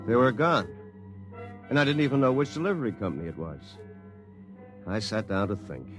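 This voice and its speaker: An actor playing a self-satisfied lawyer telling his own story, confiding and wry, as 1950s radio drama narration. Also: fairly low pitch. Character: self-satisfied, successful male attorney recounting his story. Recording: 1950s radio broadcast, music behind.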